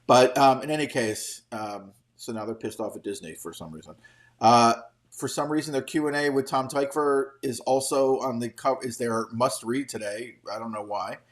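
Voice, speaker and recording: A man's voice: mid-range voice, normal speed. A middle-aged man hosting a show, giving off-the-cuff solo commentary in a rambling, opinionated, casually profane way. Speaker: host of a daily show commenting on entertainment trade news.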